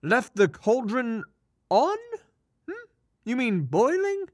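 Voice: medium pitch, huge pitch swings, takes it slowly, dry recording, studio quality. A performer playing a teasing, sceptical sidekick to a storyteller in comic fantasy banter.

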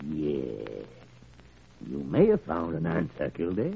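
Blustery old doctor, wildly swooping voice. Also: male voice, deep voice, wildly swooping pitch, very slow, gravelly, full character voice. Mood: sly, amused. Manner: blustery, commanding, theatrical. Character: gruff, blustery older male senior doctor, fatherly to patients. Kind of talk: old-time radio drama acting. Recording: tinny, band-limited old radio recording.

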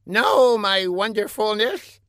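A meek, obsequious scientist lackey, played by a male narrator, forever flattering a master. The voice sits very high and bobs up and down in a dry storybook reading. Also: slow pace, no room sound, full character voice. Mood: fawning, nervous. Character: timid, fawning scientist underling, obsequiously flattering the boss.

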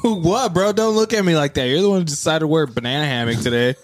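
A man's voice: a little high, fast. A man in a casual podcast chat, drawling, swearing and laughing a lot. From Greece.

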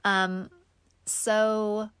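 A woman's voice: medium pitch, flat delivery, very slow, fairly bright tone, clear, resonant tone, dry recording. A young woman talking casually to her viewers in a vlog, stuffed up from allergies, reflective and full of ums and likes. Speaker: vlogger. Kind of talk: casual personal vlog talk.

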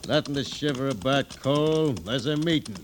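An American actor playing a suspicious, demanding outlaw in an old radio western, with a folksy, needling manner. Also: male voice, mid-range voice, animated, normal speed, natural voice. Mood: easygoing. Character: Jesse James, suspicious, demanding, menacing outlaw. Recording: slightly noisy old radio recording.

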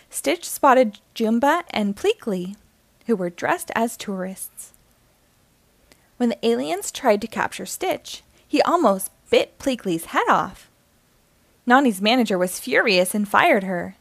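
A woman reading a children's storybook aloud in an expressive, animated storytelling style. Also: fairly high pitch, a little slow, gravelly, dry recording. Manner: animated, acting out dialogue.

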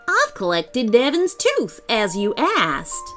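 A woman's voice: fairly high pitch, wildly swooping pitch, takes it slowly, pushed and bright, soft background music, exaggerated voice. A woman doing a snappy, fussy little tooth fairy. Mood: brisk. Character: brisk, bossy little female tooth fairy.

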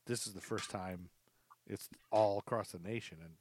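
A man's voice: lowish voice, steady tone, moderate pace, a little mellow. A man hosting a podcast, chatting casually in loose, rambling phrases. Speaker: podcast host. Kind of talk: casual conversational podcast chat.

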